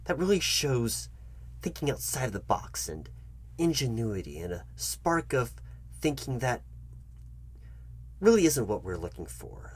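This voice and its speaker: A man playing a foolish, patronizing job interviewer in an ASMR comedy roleplay, speaking to the listener in a halting, repetitive, mock-earnest way. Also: fairly low pitch, husky, heavily acted. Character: foolish, condescending male job interviewer toying with the candidate.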